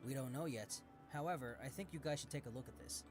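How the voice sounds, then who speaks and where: A man's voice: medium pitch, quick pace, music in the background, close to an everyday voice. An actor playing a cheerful, eager young male detective in an anime visual novel, with a playful manner. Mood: matter-of-fact. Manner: enthusiastic, playful.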